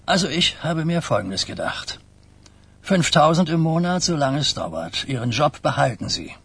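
Husky old mafia boss. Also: male voice, fairly high pitch, very animated, normal speed, fairly bright tone, exaggerated voice. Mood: businesslike, confiding. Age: older. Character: old New York mafia boss, burdened, wary, courteous. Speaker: actor. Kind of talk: German radio crime drama acting.

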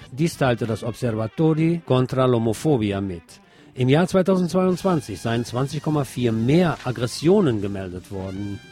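A man's voice: mid-range voice, dark tone. A man reading scripted radio news as the presenter.

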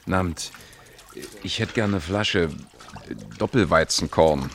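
An actor playing a mild, awkward male schoolteacher in a radio play, halting and placating. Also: sits low, fairly bright tone, slight background noise. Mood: hesitant. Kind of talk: 1970s German radio play acting.

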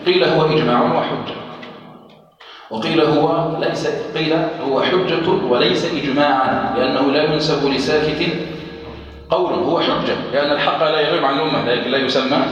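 Sheikh lecturing in an echoey hall. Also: male voice, a little high, lo-fi sound. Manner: repeats key phrases and checks understanding with "clear?". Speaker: sheikh teaching a text on Islamic legal theory. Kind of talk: classroom lecture. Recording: echoey hall, mic far off.

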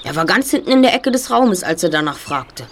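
A well-spoken young sleuth leading his gang in a radio play, acted by a woman. The voice is slightly low, formal and assured, over faint background noise. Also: sing-song, a little fast. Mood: deducing. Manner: articulate, formal, self-assured. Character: confident, well-spoken boy detective, leader of a trio. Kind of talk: German audio drama acting.

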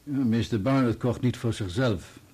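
An actor playing an obliging, courteous auctioneer in a Dutch radio play, helpful and unhurried. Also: male voice, medium pitch, dark tone, lightly acted. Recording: old radio play, clear background noise.

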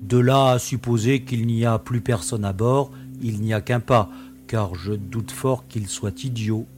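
A man's voice: mid-range voice, steady tone, measured pace, a little mellow, soft background music, natural voice. A man playing a practical, wry space adventurer in a science-fiction audio drama, with a matter-of-fact delivery.

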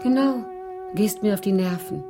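An actress playing a flirtatious, coolly capricious mistress who teases and purrs endearments in a German radio crime drama. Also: female voice, mid-range voice, moderate pace, music in the background, natural voice. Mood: dismissive.

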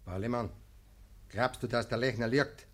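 An actor playing a self-righteous, officious village mayor in a German radio play, speaking insistently in Bavarian dialect. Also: male voice, medium pitch, normal speed, fairly bright tone, natural voice. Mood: commanding.

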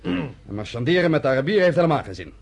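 Husky, militant, uncompromising leader. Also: male voice, a little high, big pitch swings, very fast, full character voice. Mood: hard, indignant. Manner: vehement, emphatic. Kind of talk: Dutch radio play acting.

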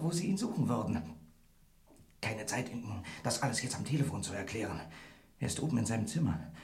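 Guy acting loyal gruff pal in a german crime radio play, pushy and coaxing, really lively pitch. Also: natural voice. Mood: urgent, secretive. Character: Maurice, gruff, loyal, protective male friend.

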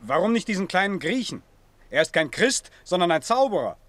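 An actor playing a practical, insistent Roman arena official in a German radio play, pressing and matter-of-fact. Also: male voice, high voice, big pitch swings, fairly quick, a bit bright, no room sound.

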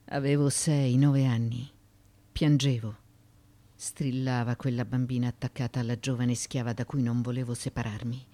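A woman's voice: very deep voice, level tone, moderate pace, warm tone. An actress playing an ancient Greek mother haunted by her childhood, in a theatrical radio monologue of broken musing. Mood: haunted, reminiscing.

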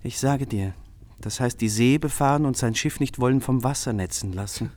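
An actor playing an exasperated friend in a literary radio drama, arguing in an emphatic, rhetorical, declamatory way. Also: male voice, mid-range voice, normal speed, darkish tone, close to an everyday voice. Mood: exasperated challenge. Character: forceful, exasperated male friend scolding an artist.

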